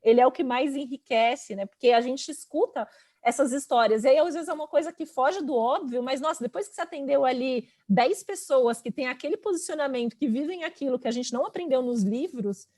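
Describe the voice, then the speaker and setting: A Brazilian woman with a clearly high-pitched voice speaks as a panelist. Her delivery is informal and conversational, with frequent little tags seeking agreement. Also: a little fast, darkish tone. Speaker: astrologer and teacher.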